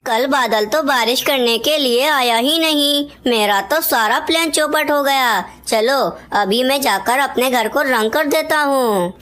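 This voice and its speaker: Woman voicing a high-pitched scheming cartoon crow. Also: measured pace, few pauses, fairly bright tone. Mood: frustrated. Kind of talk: Hindi animated children's story acting.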